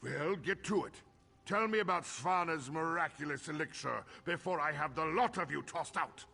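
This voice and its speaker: Gravelly, imperious fantasy king. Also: on the high side, normal speed, bright, forceful tone, full character voice. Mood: suspicious, commanding. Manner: imperious, scornful, theatrical. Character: proud, paranoid, angry Nordic king and bitter father. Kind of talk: dramatic fantasy game acting.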